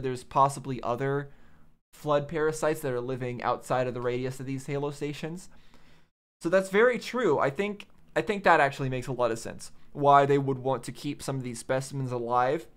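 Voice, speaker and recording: A man hosting a game reaction channel, thinking aloud in casual commentary and repeating phrases as he reasons. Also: medium pitch.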